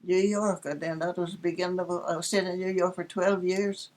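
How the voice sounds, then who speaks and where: An elderly Northern Irish woman looks back on her life in casual conversation. Her voice sits on the low side for a woman, and she tells anecdotes chattily, with a dry sense of fun. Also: little pitch movement, normal speed, a little mellow. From Northern Ireland. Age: 80s. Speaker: interviewee recalling her life. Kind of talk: casual reminiscence, storytelling.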